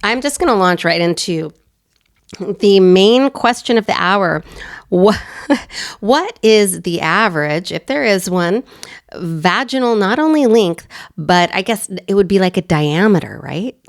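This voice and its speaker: A woman hosting a casual sex-education chat, hoarse and raspy from a cold, upbeat and playful. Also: mid-range voice, expressive, measured pace, dry recording, studio quality. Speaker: host.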